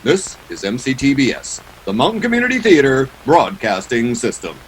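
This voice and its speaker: A man's voice: medium pitch, huge pitch swings, moderate pace, a little hiss or hum, poor audio. A man acting a polished 1940s radio announcer, delivering sponsor copy and sign-offs with showy salesmanship. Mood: formal sign-off.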